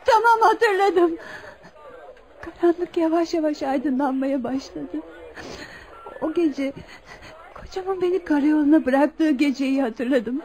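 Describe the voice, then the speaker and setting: A woman's voice: sits high, takes it slowly, pauses often, a little mellow. An actress playing a fragile, grateful wronged mother who regains her memory, speaking hesitantly and emotionally in a radio drama. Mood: fragile, recalling. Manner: hesitant, emotional, repeating words.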